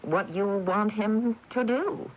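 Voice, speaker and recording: A woman's voice: medium pitch, slow pace, dull audio, natural voice. An actress playing an earnest, polite woman who acts as go-between in a radio drama. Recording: noisy AM radio tape.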